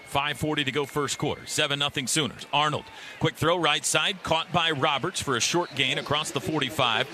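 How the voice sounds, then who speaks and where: A man's voice: on the high side, fairly even intonation, moderate pace, pushed and bright. A man calling live radio football play-by-play from a stadium broadcast booth. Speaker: play-by-play announcer.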